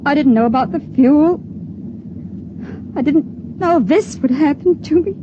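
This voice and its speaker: A frightened young stowaway girl in a vintage radio play, her fairly high voice soft, halting and stammering. The old broadcast sounds dull and carries a steady hiss. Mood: tender. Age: young adult. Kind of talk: 1950s radio drama acting.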